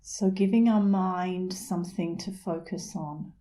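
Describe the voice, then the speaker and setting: Middle aged lady doing guided meditation, really slow n soothing, soft mellow voice. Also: lowish voice, steady tone. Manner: soothing, unhurried, gentle pauses. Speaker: meditation instructor.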